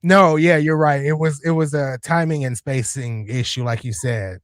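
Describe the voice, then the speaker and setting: A man's voice: medium pitch, normal speed, no room sound, studio quality. A man co-hosting a casual wrestling watch-along stream, chatty and opinionated, repeating himself between fillers. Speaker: co-host.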